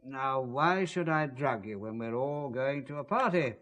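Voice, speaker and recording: A man's voice: mid-range voice, animated, measured pace, fairly bright tone, muffled recording. An actor playing a suave, evasive intelligence man, genteel and patronising, in an audio thriller. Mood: smooth, evasive. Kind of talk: spy thriller audio drama acting.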